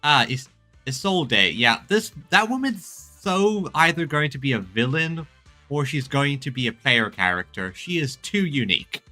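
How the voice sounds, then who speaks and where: A male streamer comments casually on a game in a very bright, forceful voice of average pitch. He speaks haltingly, doubling back on words, over faint music. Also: expressive. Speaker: gaming streamer.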